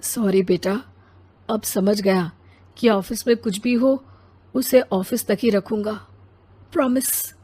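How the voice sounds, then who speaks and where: A woman voicing a grumpy, demanding husband and father in an animated family story, complaining and dismissive. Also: mid-range voice, slow, pauses often, slightly rough. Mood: softened.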